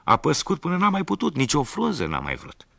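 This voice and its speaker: A man's voice: mid-range voice, huge pitch swings, creaky, fairly bright tone, slightly rough, no echo, voice pushed up, exaggerated voice. A man voicing a careless young tailor's son who answers breezily. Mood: breezy.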